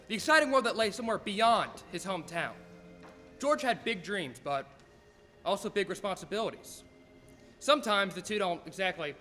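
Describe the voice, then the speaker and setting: A young man serves as announcer and narrator of an old-time radio play. The voice is high and lively, in a reverberant hall with music behind it. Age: teens to young adult.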